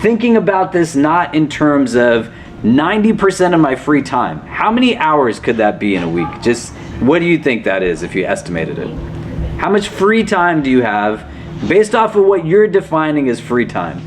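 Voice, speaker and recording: A man's voice: on the high side, very animated, normal speed, a little hiss or hum. A man, an imam leading a casual religious class, asking questions and often checking in with "do you know?". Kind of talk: conversational religious lesson.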